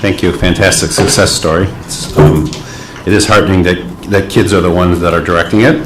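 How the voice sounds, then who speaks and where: Man, very low voice, hesitant moderator, echoey room. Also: steady tone, a little fast, very noisy. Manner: hesitant, with fillers. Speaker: panel moderator.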